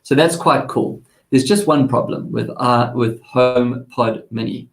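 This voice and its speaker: A South African man hosts a relaxed tech chat with a gravelly edge to his voice. He talks in a rambling way, full of restarts and asides. The sound is echoey, like a bare studio room. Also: mid-range voice, unhurried. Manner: chatty, rambling, with many restarts. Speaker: tech segment host. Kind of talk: casual chat.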